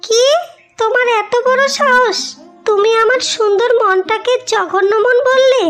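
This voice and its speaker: A vain young new wife, played by a woman in a cartoon melodrama, with an extremely high voice. She is haughty and quarrelsome, scolding indignantly over background music. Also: unhurried, fairly bright tone. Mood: outraged.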